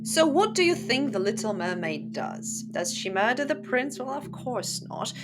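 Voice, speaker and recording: A woman's voice: high voice, sing-song, normal speed. A woman hosting a channel, telling and commenting on stories in a casual, opinionated, sardonic and conversational way. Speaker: host of a book channel. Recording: music playing behind the voice. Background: instrumental music.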